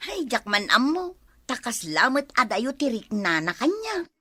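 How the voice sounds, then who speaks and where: A woman playing a friendly, hospitable housewife in an Ilocano radio drama, chatting warmly with the neighbours. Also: a little high, very animated, measured pace, husky, no echo, exaggerated voice.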